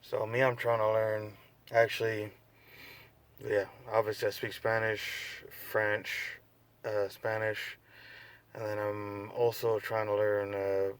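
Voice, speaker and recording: A man's voice: fairly low pitch, completely flat delivery, slow pace, clean tone. A tired young man from the United States vlogging casually, rambling with lots of fillers and restarts. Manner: laid-back, rambling, many fillers and restarts. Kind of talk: unscripted casual vlog.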